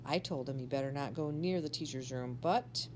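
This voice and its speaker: A woman's voice: extremely low pitch, normal speed, slight background noise. A woman voicing a bossy, scheming schoolgirl in a storybook, telling tall tales in an insistent, conspiratorial way. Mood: dark, menacing.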